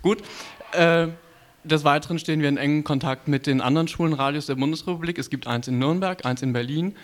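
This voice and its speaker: A man presenting a radio programme off the cuff, halting often to search for words. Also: fairly high pitch. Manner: halting, with frequent hesitations and searching pauses. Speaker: community radio presenter of an editorial team. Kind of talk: unscripted on-air programme presentation. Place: radio studio.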